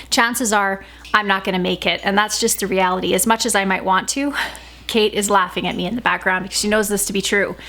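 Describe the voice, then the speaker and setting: A woman chatting casually as she cooks in her home kitchen for a vlog, relaxed, with a sore throat from talking all day. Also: mid-range voice. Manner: chatty, relaxed, with filler ums. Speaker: vlogger cooking at home. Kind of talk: casual cooking vlog chat.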